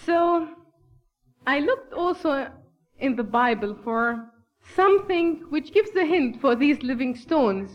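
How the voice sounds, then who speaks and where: A woman from Bethlehem whose first language is Arabic, speaking English with an Arabic accent, giving an earnest, measured formal address at a church gathering. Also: high voice, highs cut off. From Palestine, Bethlehem.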